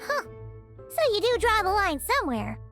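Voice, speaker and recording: Game sidekick fairy: childlike, excitable, squeaky, very high register, swooping intonation. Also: female voice, moderate pace, soft background music, full character voice. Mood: indignant, skeptical. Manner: refers to self in third person, exclamatory. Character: tiny excitable floating fairy companion, childlike, cheeky. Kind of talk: video game voice acting.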